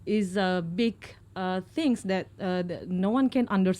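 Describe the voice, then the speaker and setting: A woman from Indonesia with an Indonesian accent, speaking as a radio guest in halting English. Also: mid-range voice, a little mellow. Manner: halting, many fillers and restarts. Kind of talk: casual talk in English as a second language.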